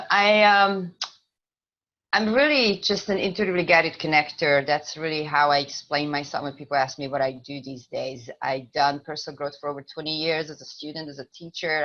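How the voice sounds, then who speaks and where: Hungarian lady, really low voice, earnest and kinda halting, muffled call audio. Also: fairly bright tone. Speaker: online meeting speaker. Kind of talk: personal introduction on a video call. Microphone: video call.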